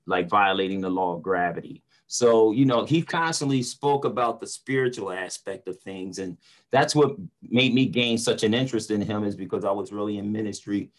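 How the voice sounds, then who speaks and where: A man's voice: lowish voice, moderate pace. A middle-aged man from North talks. He is earnest and emphatic, like the preacher he is, and repeats words as he makes his point on a video call. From North Philadelphia, United States. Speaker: preacher and motivational speaker. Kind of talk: casual discussion in an online panel. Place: small room at home or office, indoors. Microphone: video call computer mic.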